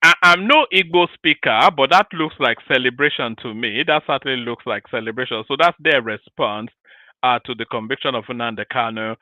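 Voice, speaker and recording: A man's voice: medium pitch, a little fast, sharp tone, no echo, dull audio. A man hosting an opinionated commentary show, talking emphatically with rhetorical questions and repeated phrases.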